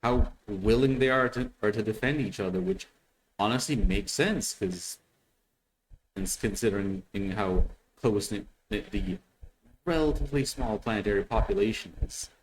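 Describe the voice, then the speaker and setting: A man hosting a reaction video, giving casual unscripted commentary in a halting way, often repeating words as he thinks. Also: on the low side, rough recording. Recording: faint background noise.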